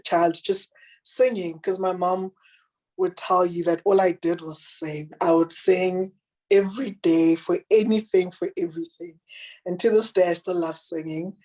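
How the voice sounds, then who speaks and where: A woman with a deep, husky, raspy voice reading her own children's picture book aloud and chatting about it. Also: poor audio. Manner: gentle, unhurried reading aloud with picture commentary. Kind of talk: children's storybook reading, then casual chat.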